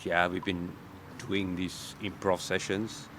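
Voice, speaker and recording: A middle-aged Malaysian man with a deep, low voice talks casually as a guest in an interview. He speaks haltingly and doubles back on his words. Noticeable city traffic noise sits behind him. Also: level tone. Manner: halting, repeats words and phrases. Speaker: guest, guitarist.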